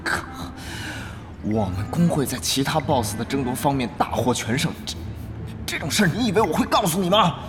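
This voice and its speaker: An actor playing an earnest, easily flustered guild leader in an online game, stammering and exasperated, in a Chinese radio drama. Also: male voice, a little high, animated, moderate pace, music in the background, natural voice. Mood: flustered, defensive.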